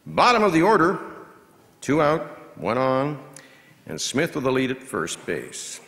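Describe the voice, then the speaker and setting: A man performing a scripted baseball play-by-play as an announcer, in measured, clipped phrases that can swell into excited calls. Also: medium pitch. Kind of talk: scripted radio-style baseball commentary, read as a performance. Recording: long reverb of a large hall.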